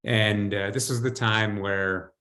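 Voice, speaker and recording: A low-voiced American man in his fifties talks as a guest on a video call. He tells his own story in a conversational way, as if chatting with the interviewer. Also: steady tone, takes it slowly, sharp tone. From United States, New Jersey. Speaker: podcast guest, financial advisor. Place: home, indoors. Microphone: video call computer mic.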